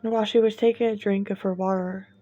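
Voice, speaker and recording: A woman narrating a scripted horror story in a slow, hushed, suspenseful manner with long deliberate pauses. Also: medium pitch, fairly even intonation. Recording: dull lo-fi, music bed behind. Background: background music.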